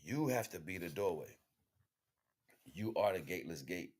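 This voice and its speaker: Very deep-voiced American man talking casually. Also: expressive, normal speed, darkish tone, husky. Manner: slangy, profane, conversational. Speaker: livestream host. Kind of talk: casual spiritual monologue.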